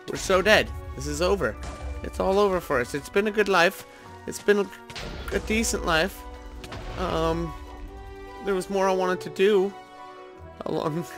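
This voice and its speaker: A man with a fairly high-pitched voice hosts a gaming video. He talks informally and thinks aloud as he plays, in a casual commentary style. Soft music sits under his voice. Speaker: host of a gaming video series.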